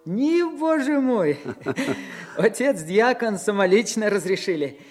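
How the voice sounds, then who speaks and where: A humble village church scribe in a radio drama. His male voice sits high, and he speaks with devout, earnest deference in an old-fashioned churchly manner. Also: very animated, slow pace, soft background music, full character voice. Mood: eager, reverent. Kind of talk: Soviet radio drama acting.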